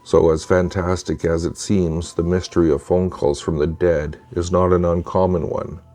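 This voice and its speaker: A man with a very low voice narrates creepy tales from a script. His tone is dark and soft-edged, and he delivers each line in a measured, deliberate way, as a storyteller would. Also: little pitch movement. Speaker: narrator of true scary stories.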